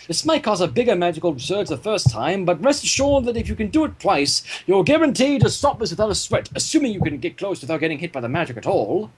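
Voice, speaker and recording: A man narrating a fan-fiction story aloud in an animated storytelling style. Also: sits high, fast talker, hardly any pauses, slight background noise, poor audio.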